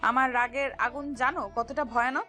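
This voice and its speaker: A woman's voice: sits high, fairly even intonation, normal speed, sharp tone, soft background music. A woman playing a flustered, pouty young fiancée who scolds and protests coyly in a romantic audio story. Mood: annoyed, protesting.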